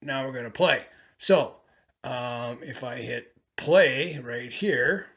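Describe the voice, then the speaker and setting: Rough-voiced man rambling through a gadget review. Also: medium pitch, slow pace, a bit bright. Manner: rambling, talks while fiddling with gear, many fillers. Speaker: product reviewer. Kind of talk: casual hands-on product review. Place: small bedroom at home, indoors. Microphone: camcorder's own shotgun mic, from a few feet to eight feet away.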